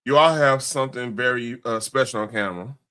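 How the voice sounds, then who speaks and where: A man with a medium-pitched voice co-hosts a casual read-along. He reads interview questions aloud haltingly, stumbling over phrases, and speaks loosely and jokingly off the script. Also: sharp tone, clear, resonant tone. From Chicago, United States. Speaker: show co-host reading interview questions.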